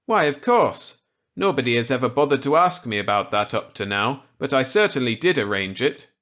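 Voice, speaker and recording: A man's voice: medium pitch, expressive, normal speed, fairly bright tone, voice pushed up, lightly acted. A man voicing a genial, wry, unrepentant scientist in a storybook reading, with clipped, breezy delivery. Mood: wry, assured.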